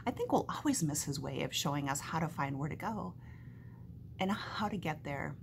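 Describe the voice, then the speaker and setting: A middle-aged woman reading a first-person story aloud in an animated, conversational storytelling manner, recorded indoors on a webcam. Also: low-pitched, normal speed, creaky. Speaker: author reading from own book. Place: small home room, indoors. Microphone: webcam at desk distance. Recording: faint background noise on webcam.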